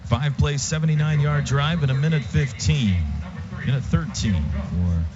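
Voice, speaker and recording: A man's voice: on the high side, moderate pace. A man calling a live football game as a radio play-by-play announcer, in clipped, rhythmic phrases. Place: outdoor stadium radio booth. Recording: muffled radio feed, loud stadium noise.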